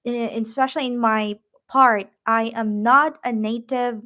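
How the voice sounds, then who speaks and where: A woman with a Filipino accent hosts a casual live stream, speaking slowly with plenty of "um"s as she encourages her viewers. Her voice sits a little high for a woman. Her first language is Tagalog, and her delivery is unhurried and conversational. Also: steady tone. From Philippines. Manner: warm, encouraging, reads viewer comments, many "um" fillers. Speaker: YouTube livestream host.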